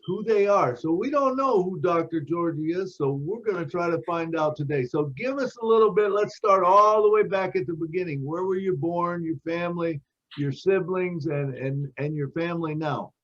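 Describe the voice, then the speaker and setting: A man with a high voice co-hosts an online show with casual, friendly introductions. His audio comes through dull and lo-fi, as over a video call. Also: normal speed, fairly bright tone. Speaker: co-host.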